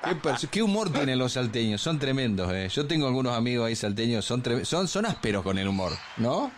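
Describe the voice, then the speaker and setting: An Argentine man around fifty hosts a casual radio chat. His medium-pitched voice is informal and chummy. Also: sing-song, fairly quick, slight background noise. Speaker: radio host.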